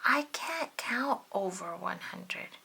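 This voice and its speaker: An earnest, proud puppet fellow from a children's storybook played by a woman. The delivery is emphatic and bright, with a pitch that swings about as he guards his secrets and gets easily upset. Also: lively intonation, slow pace, gravelly, husky, heavily acted. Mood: secretive.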